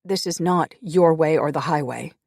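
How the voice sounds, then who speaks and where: A narrator voicing a stern, unbending warrior who speaks firmly and low. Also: male voice, moderate pace, gravelly, darkish tone, no room sound, clean studio sound.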